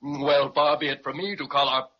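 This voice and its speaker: A man's voice: a little high, fairly even intonation, brisk, a little hiss or hum, close to an everyday voice. An actor playing a pompous, genteel male adventurer in a 1930s radio serial, hesitant and full of hemming. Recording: dull lo-fi 1930s radio recording.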